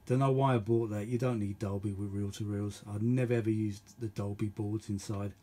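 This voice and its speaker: A man's voice: on the low side, fairly even intonation, brisk, dark tone. A middle-aged man chatting casually and unscripted as host of a vintage audio video, rambling and thinking aloud, often repeating himself. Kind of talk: casual unscripted hands-on chat.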